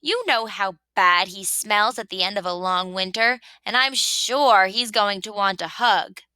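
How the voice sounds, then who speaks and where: A woman's voice: mid-range voice, measured pace, sharp tone, no room sound. A woman voicing a cranky, stubborn rabbit who complains emphatically in a children's storybook read-aloud. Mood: grumbling.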